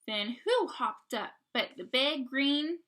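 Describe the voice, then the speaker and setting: A woman reading a picture book aloud to young children, expressively, pausing to ask simple questions and explain. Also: sits high, lively intonation, a bit bright. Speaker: read-aloud storyteller.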